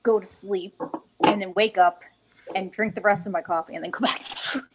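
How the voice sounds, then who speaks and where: A woman's voice: mid-range voice, fairly even intonation, a little fast. An American woman chatting casually as a podcast panelist over a video call. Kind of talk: casual group chat. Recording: noisy video call with clicks.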